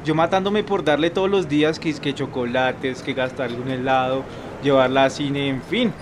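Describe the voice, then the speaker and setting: A man's voice: mid-range voice, steady tone, normal speed, a little hiss or hum, close to an everyday voice. A man playing a bitter, disappointed young Colombian jilted in love, complaining in slangy talk in a radio soap.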